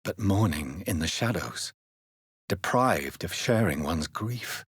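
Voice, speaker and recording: A brooding vampire doctor for a video game. He is a gentleman with a very low, husky voice that moves expressively. His speech is formal, grave and carefully articulated. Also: animated, slow, no echo, clean studio sound, full character voice. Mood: somber. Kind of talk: dramatic video game dialogue.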